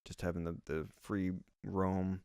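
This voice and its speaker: Gravelly, laughing podcast host man. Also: low voice, level tone, measured pace, a little mellow, dry recording, studio quality. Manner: laughs often, asks the others questions. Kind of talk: casual chat over beers.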